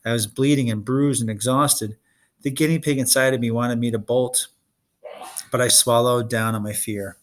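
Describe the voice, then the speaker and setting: A man reading a children's fantasy novel aloud in expressive audiobook-style narration. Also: mid-range voice, moderate pace, a little hiss or hum. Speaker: reader of a children's fantasy novel read-along.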